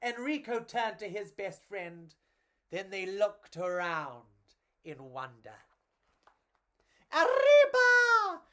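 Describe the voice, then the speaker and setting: A woman's voice: lowish voice, slow, a bit bright. A woman reading and chatting to children as a storyteller, in a theatrical, gushing and affectionate way.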